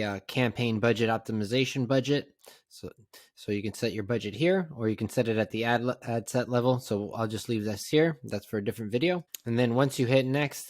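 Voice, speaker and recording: A man narrating a casual step-by-step software tutorial in a plain, conversational, instructional way. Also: lowish voice, no echo, clean studio sound. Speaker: tutorial presenter.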